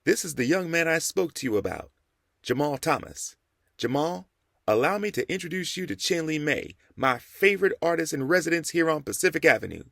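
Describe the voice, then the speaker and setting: A man doing a dapper old reverend, measured and formal. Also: on the high side, lively intonation, vocal fry, a bit bright, no echo, studio quality, pitched above the performer's own voice, exaggerated voice. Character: dapper old male reverend, calm mentor.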